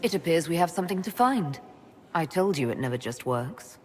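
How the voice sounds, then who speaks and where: A woman's voice: lowish voice, big pitch swings, brisk, darkish tone, slight background noise. An actress playing a pragmatic woman leading an order, talking directly and practically.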